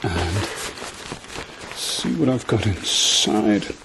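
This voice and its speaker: A man doing a soft-spoken, often whispered ASMR roleplay as a gentle, reassuring doctor with a dryly playful manner. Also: fairly low pitch, very slow, vocal fry, heavy background noise. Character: calm, reassuring male doctor treating a patient.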